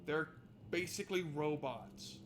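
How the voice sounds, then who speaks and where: A man with a somewhat high voice plays through a story game, reading the narration aloud. He breaks off for sarcastic, exasperated commentary. There is some background noise in the recording. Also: a little slow. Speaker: video game streamer. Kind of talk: let's play commentary with read-aloud narration.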